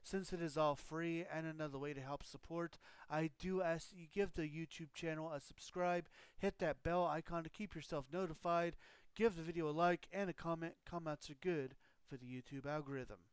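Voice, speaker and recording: Man, game streamer, slightly high voice, smooth tone, scratchy throat, dry close mic, rambling fillers. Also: fairly even intonation, normal speed, dry recording. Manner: rambling, loose explanations with frequent fillers. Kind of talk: casual live-stream chat.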